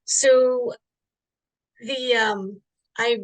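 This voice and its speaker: A woman's voice: on the high side, fairly even intonation, very slow, low-quality recording. A middle-aged woman author from Singapore, telling her story in an interview with many fillers and restarts. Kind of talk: interview answer, casual storytelling.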